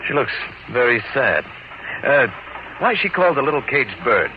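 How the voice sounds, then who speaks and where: An actor playing a bold, scheming sea captain who also narrates, in an old-time radio adventure style on a muffled recording. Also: male voice, medium pitch, sing-song, normal speed, slight background noise, close to an everyday voice. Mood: intrigued.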